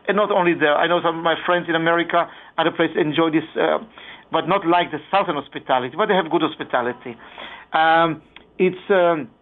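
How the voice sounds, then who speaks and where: Man, Israeli accent, radio guest, earnest, halting, phone-quality audio. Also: on the high side. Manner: earnest, many fillers and restarts. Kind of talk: radio interview. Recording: phone-line sound.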